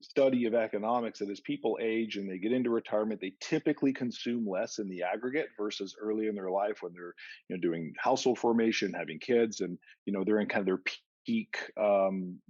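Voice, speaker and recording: Man, slightly deep, husky, methodical investment analyst, conversational explaining, dull conference call audio. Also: brisk, darkish tone, no room sound. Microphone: conference call line.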